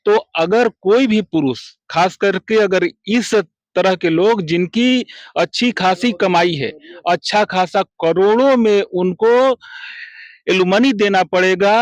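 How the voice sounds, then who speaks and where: A local man giving his opinion in a street interview, halting and often stumbling on the start of words. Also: high voice, dry recording. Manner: halting, stammering on word starts.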